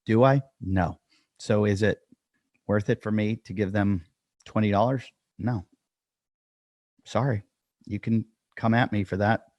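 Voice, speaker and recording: A man's voice: on the low side, fairly even intonation, measured pace, pauses often, a little mellow, no echo, muffled recording. A man hosting a podcast, talking casually and self-deprecatingly with lots of fillers as he introduces the show and his guest. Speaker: podcast host. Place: dry room at home.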